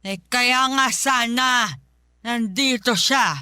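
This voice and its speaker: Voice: mid-range voice, takes it slowly, sharp tone, smooth, no room sound, clean studio sound. An actor playing a bitter, fault-finding elderly mother, bedridden after a stroke, complaining and sighing in a Filipino radio drama. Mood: bitter, stubborn. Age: older.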